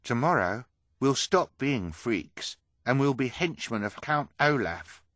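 Storybook hunchbacked misfit: high, swooping, wide-eyed and earnest. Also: male voice, big pitch swings, measured pace, a bit bright, no echo. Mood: hopeful. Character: eager, gullible hunchbacked male carnival freak.

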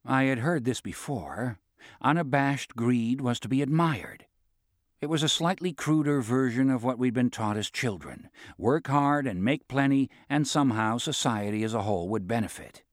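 A man narrating a first-person novel in a wry, measured storytelling manner. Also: mid-range voice, animated, no echo, clean studio sound. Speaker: audiobook narrator.

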